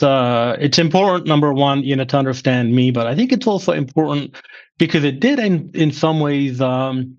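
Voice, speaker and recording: A middle-aged man from England who now talks with a slightly Midwestern American accent, giving measured explanations as an interview guest. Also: medium pitch, muffled recording. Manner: measured, explanatory, frequent "uh" fillers. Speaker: guest, former finance chief. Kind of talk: podcast interview.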